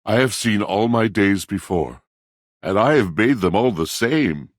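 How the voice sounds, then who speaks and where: Video game role: a haughty, gloomy literary-snob appliance. Low, rough voice, theatrical and archaic delivery, close dry sound. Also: male voice, animated, a little slow, no room sound. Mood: melancholy, moved. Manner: pompous, archaic, florid, brooding diction. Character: pompous, melancholy old-fashioned talking washing machine, male, literary snob.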